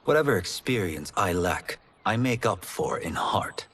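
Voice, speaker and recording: A man's voice: lowish voice, big pitch swings, a little slow, fairly bright tone, slightly rough, exaggerated voice. An actor playing a humble young farm-boy warrior in a video game, sincere, formal and principled. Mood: determined. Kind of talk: cinematic video game voice acting.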